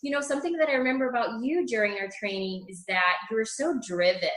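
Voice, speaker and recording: A woman's voice: fairly high pitch, fast talker, fairly bright tone, reverberant. A woman from Scotland, a personal trainer, guesting on an interview show in a friendly, upbeat casual chat. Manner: friendly, upbeat, laughs easily.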